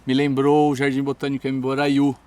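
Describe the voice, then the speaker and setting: A man's voice: medium pitch, monotone, fairly quick, a little mellow, no room sound. Conversational man on a garden visit. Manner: conversational, asks questions. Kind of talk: casual chat. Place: outdoor garden.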